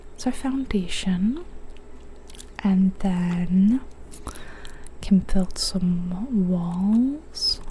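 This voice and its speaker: A young woman whispering softly as she plays a building game, a little sniffly from a cold, musing to herself. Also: medium pitch, slight background noise. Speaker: ASMR gaming streamer. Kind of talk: whispered ASMR gameplay commentary.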